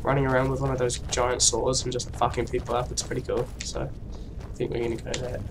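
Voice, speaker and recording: A young man from New Zealand with a New Zealand accent, commentating a game casually while he has a cold, excitable and sweary with lots of fillers. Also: mid-range voice, fairly quick. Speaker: let's play gamer. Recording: distant mic with noisy background.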